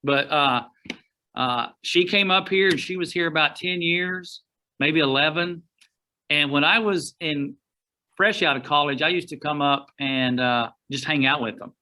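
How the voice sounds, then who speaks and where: A middle-aged man from Oklahoma, a guest outdoor writer, telling stories in a rambling, folksy way with frequent pauses and restarts over a remote call. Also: a little high, bright, forceful tone. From Oklahoma, USA. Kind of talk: casual storytelling interview.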